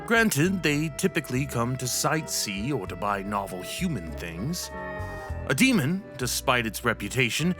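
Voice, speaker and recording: A man's voice: mid-range voice, fairly bright tone. A man playing a stern, wry, pompous male professor in a fantasy audio roleplay, lecturing in a haughty, theatrical way. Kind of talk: ASMR roleplay acting.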